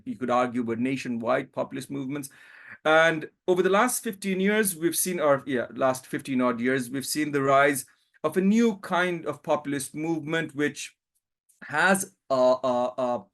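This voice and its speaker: Man giving a hedging talk. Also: medium pitch. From Bangladesh. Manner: thoughtful, hedging with frequent fillers. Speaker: panel speaker, academic. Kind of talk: conference presentation.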